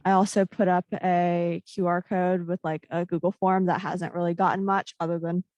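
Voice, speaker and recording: Lower-voiced woman giving a halting report. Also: flat delivery, darkish tone, dry recording, lo-fi sound. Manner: halting, many ums. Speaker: student senator. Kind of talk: meeting report. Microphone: video call.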